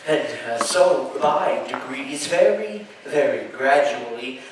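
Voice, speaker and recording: A male stage actor playing a nervous, gloating murderer in a theatrical gothic horror monologue, halting and stammering as he insists he is sane. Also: medium pitch, animated. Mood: brooding, obsessed. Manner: halting, stammering, drawn-out phrases with sudden emphasis. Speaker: stage actor performing a dramatic reading. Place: live performance space at an amusement park show. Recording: echoey live show, distant mic, faint noise.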